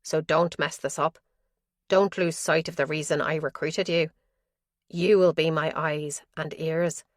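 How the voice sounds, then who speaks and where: A cold, controlling police chief from an audiobook thriller, hard and commanding, giving orders slowly, as a woman reads her. Her tone sits low and the sound is dry. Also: fairly even intonation, dry recording.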